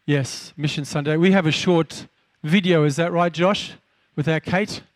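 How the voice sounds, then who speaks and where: A man's voice: fairly high pitch, steady tone, measured pace, no echo. An older man pastoring a church, delivering fervent exhortations and announcements.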